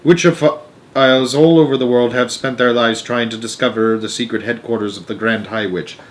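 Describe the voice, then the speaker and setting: A man voicing a stern old grandmother who explains things gravely and with authority in a storybook reading. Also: medium pitch, fairly even intonation, moderate pace, lightly acted. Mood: grave, knowing. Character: stern, knowing old grandmother, retired witch hunter.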